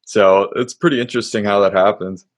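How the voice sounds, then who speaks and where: A man's voice: fairly low pitch, steady tone, fairly quick. A man hosting a casual interview show, talking in a friendly, easygoing way with fillers. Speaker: podcast host.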